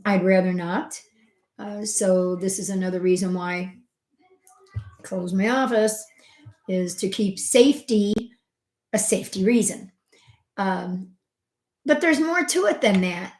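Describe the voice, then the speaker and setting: Chatty middle-aged woman in a roomy space. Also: medium pitch. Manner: chatty and conversational, many fillers and asides; expressive, swings from loud emphasis to soft asides. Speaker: homeopath hosting a health channel. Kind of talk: casual personal storytelling to camera. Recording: roomy echo.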